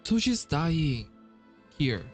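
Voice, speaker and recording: A man's voice: a little high, big pitch swings, extremely slow, darkish tone. Man casually reacting to a game. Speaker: gamer doing a playthrough. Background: game music.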